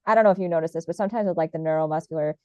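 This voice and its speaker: A woman's voice: sits low, racing, darkish tone, no echo. A woman guest on a podcast, chatting casually with lots of fillers and restarts, her voice slightly nasal. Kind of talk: casual conversation.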